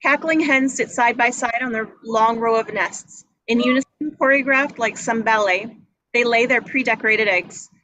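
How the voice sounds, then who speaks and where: A woman's voice: on the high side, moderate pace, pushed and bright, poor audio. A woman reading screenplay action descriptions aloud as scripted narration at a table read. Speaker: stage directions reader. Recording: noticeable background noise.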